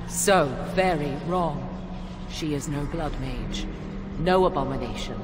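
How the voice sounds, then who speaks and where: A woman playing a proud, secretive witch in a video game, speaking in formal, archaic phrases with a haughty, measured manner. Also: low-pitched. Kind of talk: dramatic video game acting. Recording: long hall reverb, clear background noise.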